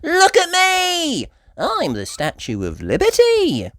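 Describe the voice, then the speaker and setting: An eager young girl pig from a children's storybook, acted out by a woman reader. The voice is high and squealing, swooping up and down with curious, cheerful excitement. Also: takes it slowly, no echo, studio quality, full character voice. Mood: thrilled.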